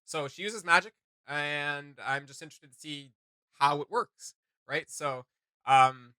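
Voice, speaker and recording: A male co-host with a sharp, very bright voice on the higher side, captured close and dry. He chats casually and animatedly, rambling through his thoughts and leaning playfully on his emphatic points. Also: unhurried, clean tone, no echo. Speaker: co-host of a reaction video channel. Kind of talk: casual chatty reaction commentary.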